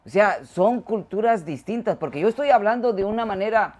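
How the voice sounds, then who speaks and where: A man's voice: high-pitched, lively intonation, brisk, a little mellow. A man from Guatemala, hosting a casual interview and rephrasing his points hesitantly. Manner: frequent short backchannels, hesitant rephrasing. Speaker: show host.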